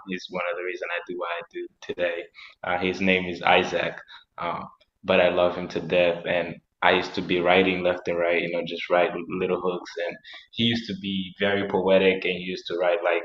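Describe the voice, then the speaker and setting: A young man from Rwanda, a musician telling his story in an interview in a reflective, halting way with many ums. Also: low-pitched, fairly bright tone. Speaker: musician and guest. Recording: echoey, dull lo-fi sound.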